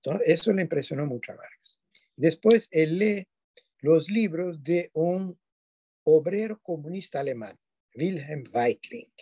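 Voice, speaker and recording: A man's voice: fairly high pitch, expressive, a little slow, mellow, breathy edge, no room sound. An older man whose first language is not Spanish, giving an online lecture from a dim room at home over a webcam, digressing and often self-correcting with tag questions. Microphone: video call webcam.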